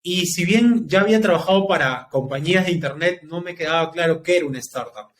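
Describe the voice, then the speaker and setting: Guy, 30s, podcast guest, slightly high voice, faint noise underneath, thoughtful storyteller. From Peru. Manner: reflective, talks in long unhurried stretches. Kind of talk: casual interview storytelling.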